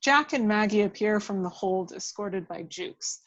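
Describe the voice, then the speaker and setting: A woman's voice: medium pitch, a little slow, fairly bright tone, dull audio. A woman reading stage directions as scripted narration at a table read of a film script.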